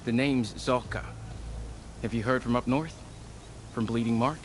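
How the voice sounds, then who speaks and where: A man playing a grave, dutiful desert tribesman in a video game, speaking deliberately. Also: mid-range voice, a little hiss or hum, natural voice. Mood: worried.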